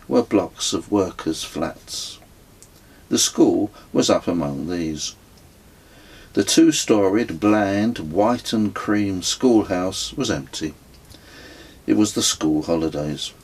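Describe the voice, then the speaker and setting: A middle-aged man reading his own memoir as an audiobook, with a husky voice and a measured, literary storytelling manner. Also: sits low, darkish tone. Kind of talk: scripted audiobook narration.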